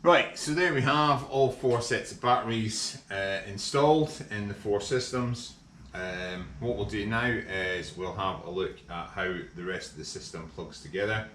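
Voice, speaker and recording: A middle-aged Scottish man casually explaining a kit installation in a workshop, relaxed and full of ums. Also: lowish voice, a little slow. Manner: relaxed, hesitant with frequent ums. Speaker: workshop vlogger. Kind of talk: casual how-to demonstration. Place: large indoor workshop. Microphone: camera mic from overhead. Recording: echoey workshop with faint hum.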